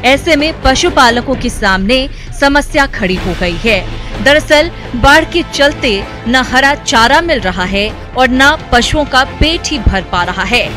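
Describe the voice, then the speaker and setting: A woman reading a scripted news voice-over in a measured, formal way. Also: high-pitched. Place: studio voice-over.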